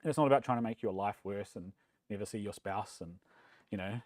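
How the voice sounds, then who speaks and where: A man's voice: fairly low pitch, a little fast, no room sound, studio quality. New Zealand man giving a casual tech talk. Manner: relaxed, self-deprecating, many ums and uhs. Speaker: conference speaker. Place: meetup room.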